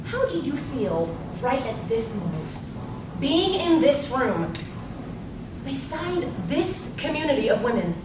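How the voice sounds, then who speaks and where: A woman's voice: big pitch swings, slow, exaggerated voice. A woman playing a poised, encouraging suffragist politician in a live stage play, recorded in an echoey room. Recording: echoey noisy hall, distant mic, low rumble.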